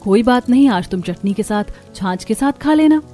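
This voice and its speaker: Woman as a gentle, mellow-voiced young mother. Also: a little high, lively intonation, normal speed. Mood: reassuring. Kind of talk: cartoon story acting. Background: music.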